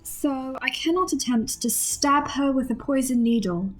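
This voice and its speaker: Prim female adventurer, high voice, formal and composed, radio drama. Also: unhurried, faint music behind, low-quality recording. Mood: cool, scheming. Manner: formal, precise diction. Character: prim, composed, quietly ruthless adventurer woman.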